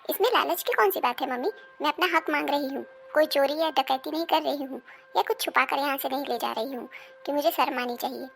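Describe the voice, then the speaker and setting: A woman acts out a dramatic woman of a squabbling Indian household in an animated moral story. Her voice sits very high and has a gravelly creak. She speaks emphatically, as in a family melodrama. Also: a little fast, soft background music. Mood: indignant, demanding. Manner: emphatic, dramatic, scolding and pleading delivery. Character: women of a quarrelling Indian family: daughter, mother, daughter-in-law.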